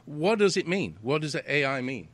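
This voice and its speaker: A man's voice: a little high, sing-song, moderate pace, a bit bright, dry recording. A British man hosting a casual interview, rambling, repeating words and restarting sentences. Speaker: host.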